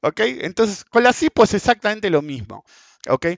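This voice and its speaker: A man's voice: sits high, sing-song, normal speed, gravelly, no room sound. A man in his forties with a Rioplatense Spanish accent, ranting bluntly and crudely in a casual monologue about markets and keeps asking "ok?". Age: 40s. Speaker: market commentator. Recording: dull dry lo-fi sound.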